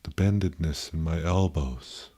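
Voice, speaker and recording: Low, mellow male meditation guide. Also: husky. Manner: gentle, contemplative, pausing, self-questioning.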